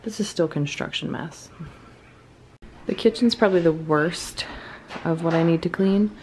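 A woman's voice: on the low side, measured pace, a little mellow, slight background noise, low-quality recording. A young woman vlogging casually at home, chatty and self-deprecating with sighs. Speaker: vlogger, stay-at-home mom. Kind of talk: casual vlog chat. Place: home kitchen and living area, indoors. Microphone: handheld camera, nearby.

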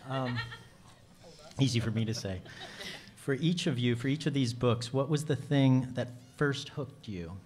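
A man's voice: mid-range voice, a little slow, darkish tone. A man moderating a book panel in a conference hall, asking questions with light, joking asides.

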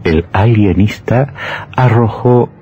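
An actor playing a sinister, mocking horror narrator in an old radio drama, theatrical, with drawn-out words and dramatic pauses. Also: male voice, fairly low pitch, warm tone, husky, highs cut off, heavily acted. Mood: gleeful, sinister. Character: sinister male horror storyteller, slow, mocking, gleefully macabre.

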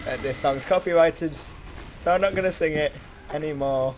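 A young British man chatting casually and excitably with a friend as he commentates on a game, often putting himself down. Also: on the high side, normal speed. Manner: excitable, self-deprecating. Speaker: gaming video host. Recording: noisy amateur mic, game audio behind.

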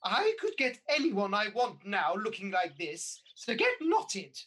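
A woman's voice: medium pitch, wildly swooping pitch, unhurried, a bit bright, husky, heavily acted. A woman playing a vain, haughty pantomime mother with an exclamatory, theatrical delivery, in a radio panto. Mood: haughty, scornful. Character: vain, haughty pantomime dame-mother, newly young and beautiful.

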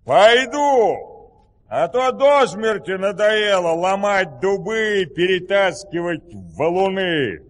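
An eccentric high-voiced storybook helper. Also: male voice, lively intonation, slow pace, long reverb tail. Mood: absorbed, casual. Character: eccentric fairy-tale strongman or listener, absorbed, eager. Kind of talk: theatrical audio-play acting.

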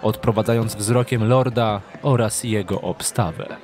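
A man's voice: fairly low pitch, steady tone, unhurried, darkish tone, soft background music. A man narrating a scripted dramatic story for an audio drama.

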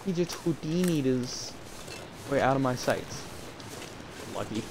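A man casually commenting while he plays a game, in a calm, fairly monotone voice that drifts into rambling asides. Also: mid-range voice, a little slow, noisy background. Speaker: gameplay streamer.

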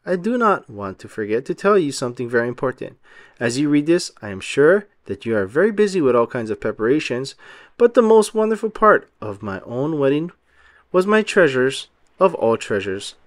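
A man reading as a loving, measured storybook mother. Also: mid-range voice, very animated, no echo, studio quality, close to an everyday voice. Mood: affectionate. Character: loving mother writing to her daughter.

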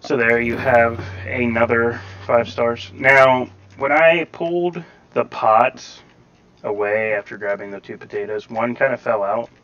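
A man's voice: medium pitch, measured pace, fairly bright tone. A man giving casual game tutorial commentary, with a slightly robotic, distorted sound to his voice. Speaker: gameplay guide host. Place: voice-over over game footage. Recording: muffled, robotic-sounding home recording.

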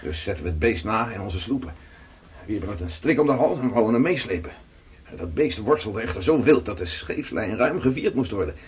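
An actor playing a weathered seafarer in a radio drama, telling his adventures slowly and with awe in a rough voice. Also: lowish voice, lively intonation, natural voice. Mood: vivid recounting. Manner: slow, dramatic storytelling. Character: weathered Dutch seafarer Gerrit, awed storyteller, around 1600.

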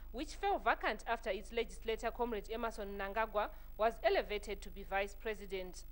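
A woman's voice: mid-range voice, slight background noise, low-quality recording. A woman reporter with a Zimbabwean accent narrating a scripted television news report.

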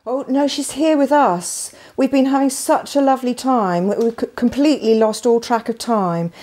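A woman's voice: on the high side, normal speed, warm tone, natural voice. A woman playing a friendly, apologetic mother on a phone call in a scripted drama. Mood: apologetic, obliging.

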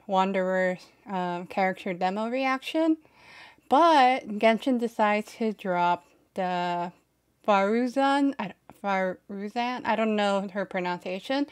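A woman in her twenties hosting a casual reaction video, with halting, hesitant phrasing, close on a desk microphone. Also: fairly high pitch, a little mellow. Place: small bedroom streaming setup.

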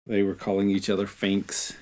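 A man co-hosting a podcast, discussing a book casually and somewhat haltingly as he searches for words. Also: fairly low pitch, completely flat delivery, mellow. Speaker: podcast co-host.

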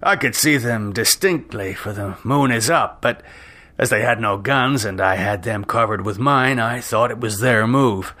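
A man voicing an excited, uneasy sentinel in an Old West party, agitated and rough-spoken, in a storybook reading. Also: lowish voice, unhurried. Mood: excited, unnerved.

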